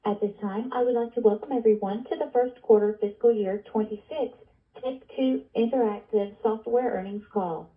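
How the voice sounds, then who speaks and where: Woman operator on a tinny phone line. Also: medium pitch. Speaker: conference operator. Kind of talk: scripted call announcement. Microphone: telephone conference line. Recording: tinny narrow telephone line.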